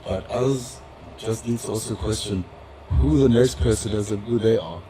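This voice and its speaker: A young man speaking reflectively in a public community discussion, measured and pausing often. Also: fairly low pitch, dark tone, a little hiss or hum, rough recording. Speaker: audience member in a community dialogue. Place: event hall with audience.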